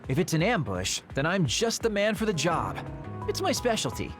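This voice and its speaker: A man's voice: high voice, huge pitch swings, moderate pace, over music. Breezy, swooping male soldier. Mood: breezy confidence. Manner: breezy, teasing. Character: flippant, easygoing, self-assured male soldier. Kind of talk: dubbed anime-style video game acting.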